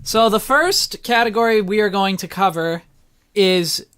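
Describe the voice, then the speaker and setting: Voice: medium pitch, a little slow, a bit bright, clear, resonant tone. A host talking casually about a review topic, teasing and opinionated, with some swearing.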